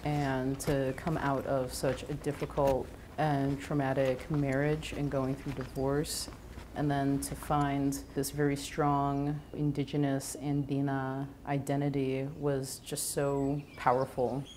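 Low-voiced woman chef, earnest and reflective. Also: monotone, a little mellow, a little hiss or hum. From Venezuela. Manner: earnest, thoughtful, with brief pauses and restarts. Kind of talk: reflective documentary interview. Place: home kitchen and living room, indoors.

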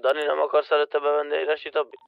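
A man's voice: fairly high pitch, monotone, gravelly, clear, resonant tone, no echo. A man chatting casually as a fellow player over tinny game voice chat. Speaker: fellow online roleplay player.

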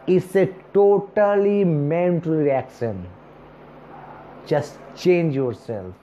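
A man in his thirties, a native Bengali speaker, speaking slowly and earnestly to camera as a speech coach, with long pauses between words. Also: on the high side, dark tone. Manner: earnest and encouraging, gesturing with both hands. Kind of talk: self-help talk to camera. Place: small indoor room, seated on a chair. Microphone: lapel mic. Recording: slightly dull recording with faint hiss.

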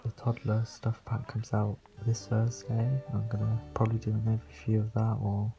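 A young man, slightly croaky, rambling casually as he comments on his gameplay. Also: on the low side, completely flat delivery, normal speed, highs cut off, over music. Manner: rambling, chatty, frequent fillers. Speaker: let's play host.

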